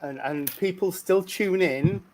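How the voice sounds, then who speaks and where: A man's voice: high-pitched, unhurried, a little mellow, rough recording. A man co-hosting a livestream as a reverend, sounding nasal from a cold and talking in a hesitant, casual way. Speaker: reverend co-host. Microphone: video call.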